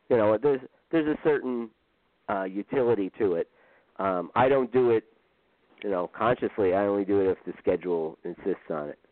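A man in his fifties chats as an interview guest, hesitant and self-deprecating, padding his thoughts with ums. The sound is thin and phone-like, with no room echo. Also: mid-range voice. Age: 50s. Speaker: author guest. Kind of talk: casual interview. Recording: tinny phone-line sound, dry.

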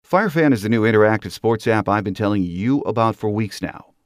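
Male podcast host for sports monologues, lower register, dry studio-style sound. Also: lively intonation, fairly quick, dry recording.

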